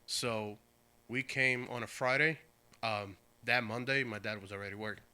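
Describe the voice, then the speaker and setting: This man grew up in Portugal and talks with a strikingly bright, crisp, clean tone. He tells the story in a casual, rambling way, backing up to fix his words and laughing easily. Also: mid-range voice, fairly even intonation, moderate pace. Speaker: podcast guest.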